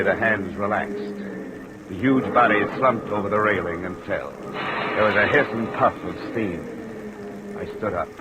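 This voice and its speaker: An actor playing a tough, weary insurance investigator, narrating tersely in the hard-boiled style of 1950s radio drama. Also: male voice, deep voice, heavy background noise. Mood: grim, tense. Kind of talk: 1950s radio acting and first-person narration. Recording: old radio drama recording. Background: dramatic music.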